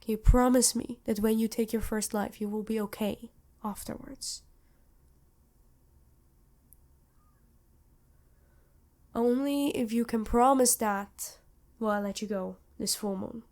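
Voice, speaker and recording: A woman voicing a worried, protective vampire queen in an ASMR roleplay, earnest and measured, with dramatic pauses. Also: on the high side, fairly even intonation, a little mellow, close to an everyday voice. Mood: solemn, worried. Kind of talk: ASMR roleplay acting addressed to listener.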